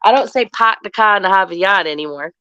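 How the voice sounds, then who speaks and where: A woman's voice: medium pitch, fast, sharp tone, clear, resonant tone, no echo, muffled recording. A woman in her thirties from the Boston area, raised in the South, with a mild Southern accent, chatting casually and laughing often as a podcast host. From Boston area, raised in Tennessee. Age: 30s. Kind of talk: casual group chat over the internet.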